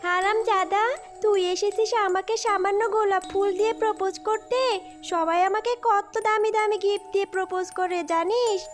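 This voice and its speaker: A woman's voice: very high-pitched, steady tone, moderate pace, faint music behind. A woman playing a haughty, greedy young female fox in a cartoon, with a demanding manner. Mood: scornful. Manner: scornful, demanding. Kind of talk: cartoon voice acting.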